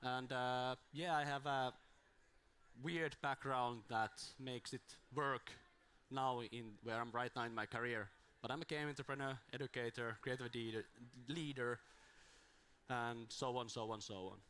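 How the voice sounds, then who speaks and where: A man's voice: medium pitch, steady tone, measured pace, bright, forceful tone, smooth. A man with as his giving an informal, self-deprecating conference talk on a handheld mic in a small room, his voice strained from talking too much. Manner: self-deprecating, rambling with restarts. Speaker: conference speaker.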